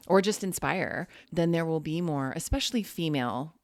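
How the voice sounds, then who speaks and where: A woman hosts a casual interview, backing her guest up with steady "yeah"s before easing into loose, wandering questions. Her voice is very low with a strong gravelly fry, recorded dry and close to the mic. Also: normal speed, dry recording. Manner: warm backchanneling with frequent "yeah", loose rambling questions. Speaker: podcast host.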